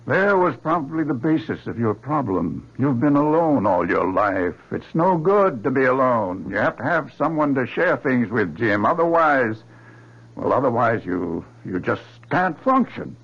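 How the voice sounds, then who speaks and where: Raspy, insistent admiral in radio drama. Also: male voice, mid-range voice, very animated, a little slow, a bit bright, exaggerated voice. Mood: fatherly. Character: paternal male admiral, insistent, concerned authority. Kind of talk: 1970s radio drama acting. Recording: dull vintage radio recording.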